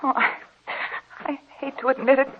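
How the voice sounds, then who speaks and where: An actress playing a frightened, earnest young wife in a 1940s-style haunted house radio drama. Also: female voice, high-pitched, flat delivery, normal speed, lightly acted. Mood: nervous. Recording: muffled, noisy old radio recording.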